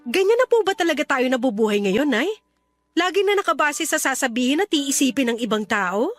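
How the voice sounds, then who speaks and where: A woman's voice: high voice, expressive, brisk, faint music behind. A woman acting a respectful but frustrated grown daughter in a Filipino radio drama, politely pleading and reasoning.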